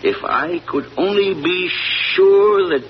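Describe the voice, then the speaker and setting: An actor playing a weary rural farmer father just out of prison, in a slow folksy drawl, in 1940s radio acting style. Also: high-pitched. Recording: noisy lo-fi old radio recording.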